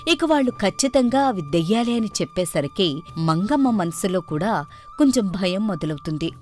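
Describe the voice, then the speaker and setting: A woman narrating a scripted story in an expressive storytelling manner. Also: mid-range voice, normal speed, soft background music.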